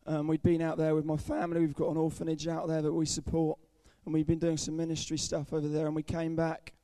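Hoarse young man testifying. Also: a little high, monotone, fast, dark tone, no room sound. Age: young adult. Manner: earnest, candid, frequent ums. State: hoarse, croaky voice from illness. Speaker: student speaker at a church service. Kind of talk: personal testimony sermon.

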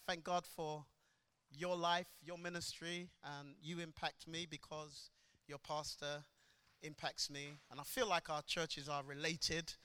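A man in his fifties preaching a sermon as a guest pastor. He moves between loud, fervent worship calls and chatty storytelling with laughs. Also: extremely high pitch. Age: 50s.

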